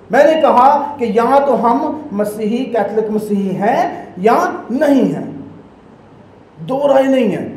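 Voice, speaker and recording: High-voiced Pakistani priest preaching emphatically. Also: male voice, a little mellow, reverberant, rough recording. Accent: Pakistani Urdu. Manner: emphatic preaching with sweeping hand gestures. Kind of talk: Sunday homily. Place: small church sanctuary, indoors. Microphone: stand mic at the lectern.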